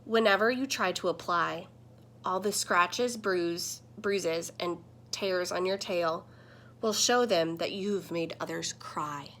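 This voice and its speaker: A woman's voice: on the low side, slow pace, a bit bright, slight background noise. A woman voicing a talking computer screen in a children's picture book, a protective mentor who admonishes and instructs emphatically. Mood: stern warning.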